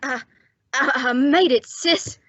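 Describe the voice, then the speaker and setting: A woman acts a scared young male fugitive in a radio drama, stammering and desperate. The voice is high and sharply bright. It sounds close and dry, though a little muffled. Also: dry recording, exaggerated voice. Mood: scared, faltering. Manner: halting, stammering, pleading. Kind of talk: old-time radio melodrama acting.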